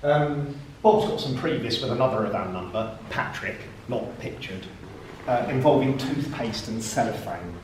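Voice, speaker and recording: A man giving a dry, deadpan introduction as part of a live poetry performance. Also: medium pitch, moderate pace, slight background noise. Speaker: performance poet. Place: live arts venue, indoors. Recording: echoey room from far off.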